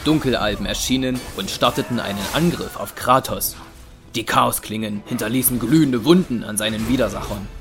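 A man narrating a scripted dramatic story in a measured, deliberate way. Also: mid-range voice. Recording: music under the voice.

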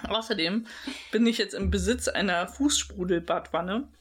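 A woman's voice: mid-range voice, normal speed, slightly rough. A young woman co-hosting a podcast, joking and teasing her co-host in casual chat.

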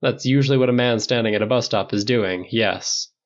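A man voicing a dignified older man who speaks in a measured, dry, disapproving way. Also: medium pitch, highs cut off, natural voice. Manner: measured, stern.

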